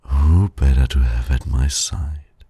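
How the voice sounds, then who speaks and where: A man playing a suave, flirtatious villain in an ASMR romance roleplay, teasing in silky, formal, condescending words with a gravelly, breathy voice. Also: a bass voice, slow pace, dark tone, no echo, heavily acted. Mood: seductive, confiding. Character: suave male villain flirting with heroic nemesis.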